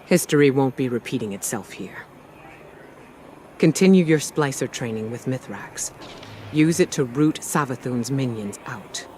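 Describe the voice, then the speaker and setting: A man playing a resolute military commander in a game cutscene, speaking in a measured, authoritative manner. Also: medium pitch, expressive, a little mellow, slight background noise, close to an everyday voice. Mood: grave, determined. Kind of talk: scripted game cutscene monologue. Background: music.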